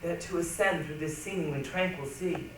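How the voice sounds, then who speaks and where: A woman's voice: on the low side, moderate pace. A woman performing a solemn recited reading as part of a readers' theatre group. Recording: noisy echoey hall, distant mic.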